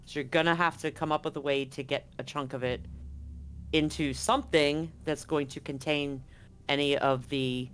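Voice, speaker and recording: A man's voice: a little high, fairly even intonation, moderate pace, a bit bright. Casual male game master explaining things. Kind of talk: casual narration of a live-streamed tabletop game.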